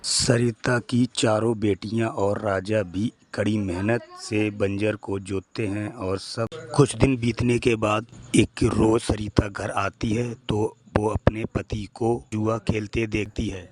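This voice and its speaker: A man's voice: on the low side, level tone, takes it slowly, darkish tone. A man reading scripted narration for a cartoon moral story.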